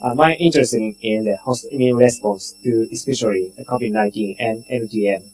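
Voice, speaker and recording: A man from Japan, a respiratory physician, introducing himself in halting, short phrases. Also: on the low side, darkish tone, soft background music, poor audio. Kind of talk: conference self-introduction.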